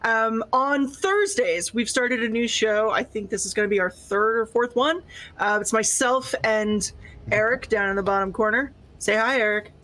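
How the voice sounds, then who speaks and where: Goofy woman chatting on a gaming stream, kinda high voice, sweary and giggly. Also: moderate pace, fairly bright tone. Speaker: co-host of a tabletop gaming stream. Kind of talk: casual group chat.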